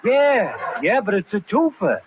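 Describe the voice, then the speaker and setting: A man's voice: high-pitched, sing-song, a little slow. A man playing a cranky, combative street bum with exaggerated slangy speech in a 1940s radio sitcom. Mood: incredulous. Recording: muffled, very noisy 1940s radio recording.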